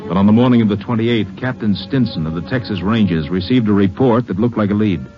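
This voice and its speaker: Deep, fast radio narrator played by a man. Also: over music, lightly acted. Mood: sober. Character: sober, factual male crime-case narrator. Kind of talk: scripted old-time radio narration. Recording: dull old radio recording.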